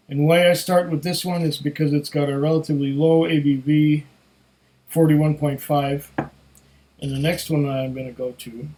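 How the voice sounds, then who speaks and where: A man with a fairly high voice and a touch of hoarseness talks through a casual whisky review on his own. He takes his time, musing aloud and savoring as he goes, often as if chatting to himself. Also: dark tone. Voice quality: slightly hoarse. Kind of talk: casual solo tasting review.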